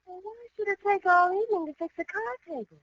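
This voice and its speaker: An actress playing a sweet, devoted young fiancée with an American accent in 1940s radio comedy. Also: female voice, extremely high pitch, quick pace, fairly bright tone. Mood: coaxing. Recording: dull old radio recording.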